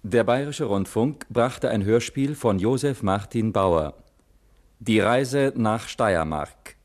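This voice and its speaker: Slow, formal male radio announcer. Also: on the low side, expressive. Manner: measured, formal enunciation. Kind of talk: formal scripted 1950s radio credits.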